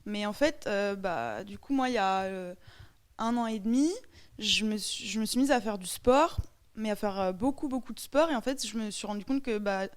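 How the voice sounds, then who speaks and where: A young French woman in a classroom debate, nervous and halting with many fillers. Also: mid-range voice. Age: young adult. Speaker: student in a debate.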